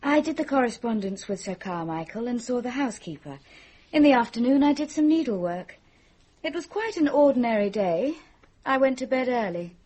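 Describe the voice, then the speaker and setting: Frank, husky young woman. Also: a little high, expressive, moderate pace, darkish tone. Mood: matter-of-fact. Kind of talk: radio mystery drama acting.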